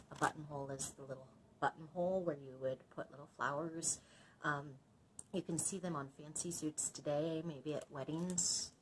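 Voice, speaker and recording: Low-voiced, expressive woman reading a storybook. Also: slightly rough, low-quality recording. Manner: instructive, explains old words to young listeners. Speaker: librarian reading a children's book aloud. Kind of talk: expressive storybook narration with explanatory asides.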